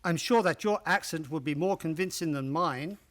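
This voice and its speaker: An actor playing an earnest, agreeable gentleman sidekick to a detective in a radio play. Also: on the high side, moderate pace, slight background noise, lightly acted. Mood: earnest, alert.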